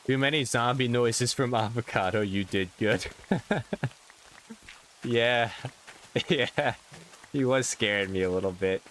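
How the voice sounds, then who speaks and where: A man's voice: medium pitch, slow, long pauses, fairly bright tone, a little hiss or hum. A man with a New York accent chatting casually on a game livestream, rambling with lots of fillers and restarts. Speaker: Minecraft streamer. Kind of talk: casual live-stream chat.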